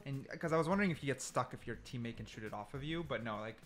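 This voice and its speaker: A man's voice: mid-range voice, animated, racing, faint music behind. A man giving casual gameplay commentary, explaining things and repeating points for emphasis. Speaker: gaming video creator.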